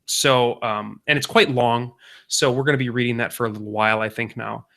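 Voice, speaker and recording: A man's voice: medium pitch, steady tone, a little fast, gravelly, sharp tone. A man in his thirties co-hosting a podcast, telling jokey, rambling stories with lots of fillers. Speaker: podcast co-host. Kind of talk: casual podcast chat.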